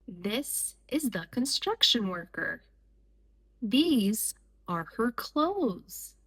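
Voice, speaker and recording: A woman reading a picture book aloud for young children in deliberate, measured phrases with pauses between short lines. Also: fairly high pitch, very animated, fairly bright tone, slightly rough. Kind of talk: slow read-aloud storytime for young children.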